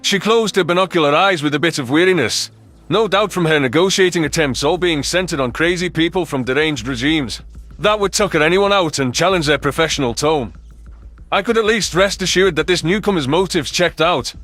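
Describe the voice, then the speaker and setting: A man's voice: on the high side, fairly bright tone. A man narrating a scripted first-person science fiction story.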